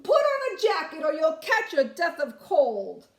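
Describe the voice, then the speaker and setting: A woman's voice: very high voice, wildly swooping pitch, measured pace, pitched above the performer's own voice, heavily acted. A woman voicing a fussing little old grandmother from a children's storybook, crying out and scolding in a roomy space. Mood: alarmed, fussing. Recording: roomy, echoing room sound.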